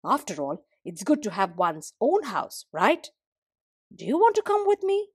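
A woman's voice: on the high side, huge pitch swings, a little slow, husky, no room sound, clean studio sound, heavily acted. A woman voicing a bold, restless sheep in a children's folktale, earnest and urging. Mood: warning, urging.

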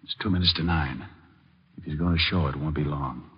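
An actor playing a suave, wisecracking private detective in a 1950s radio drama, wry, slangy and hard-boiled. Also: male voice, a bass voice, fairly quick, breathy edge, heavily acted. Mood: tense, hushed. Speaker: lead actor and narrator. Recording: muffled old radio recording with music.

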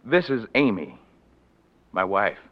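A muffled 1940s radio drama recording of a young Southern gentleman. His voice is fairly low and his manner is courteous. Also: big pitch swings, slow pace, soft background music. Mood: cheerful, polite.

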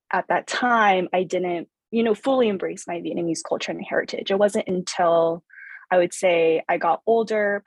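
A woman's voice: fairly low pitch, husky, no echo. An American woman in her thirties from Southern California, speaking reflectively as an interview guest, with many fillers and restarts. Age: 30s. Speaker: guest author. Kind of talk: conversational interview.

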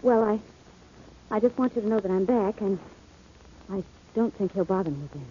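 An actress voices an anxious young woman in an old radio melodrama. She is mid-pitched and plays it in forties style. The sound is thin and phone-like, with a faint layer of noise. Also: normal speed, warm tone, lightly acted. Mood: nervous, hesitant. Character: anxious young woman breaking off with a menacing ex-boyfriend. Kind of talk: 1940s radio drama acting. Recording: old noisy band-limited radio recording.

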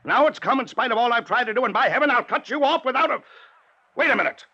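A blustering rich uncle. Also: male voice, very high voice, animated, quick pace, bright, forceful tone, breathy edge, heavily acted. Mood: furious. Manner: blustering, then stiffly formal. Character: stern wealthy older uncle, domineering. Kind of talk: 1950s radio drama acting. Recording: dull lo-fi 1950s radio transcription.

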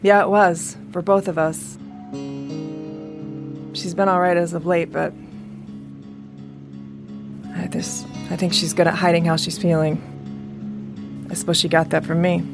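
A woman's voice: on the low side, little pitch movement, unhurried, pauses often. An actress playing a tough, distrustful middle-aged mother in a post-apocalyptic audio drama, talking in a blunt, wary way. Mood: guarded, somber. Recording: music under the voice.

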